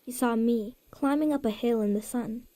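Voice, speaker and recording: A young boy in a story game, quiet and plain-spoken, with a raised pitch and a mellow, soft tone, as a woman acts him out. He talks in short, simple phrases. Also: normal speed, close to an everyday voice. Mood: grieving. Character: young boy grieving his late father.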